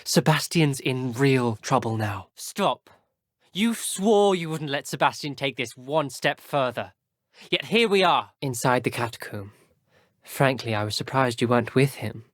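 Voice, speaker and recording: A man's voice: medium pitch, lively intonation, a little slow, a bit bright, no echo, studio quality. A young man with a British accent voicing an earnest, guarded teenage wizard schoolboy in a video game, speaking in clipped, formal phrases. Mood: worried, earnest. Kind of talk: video game character dialogue.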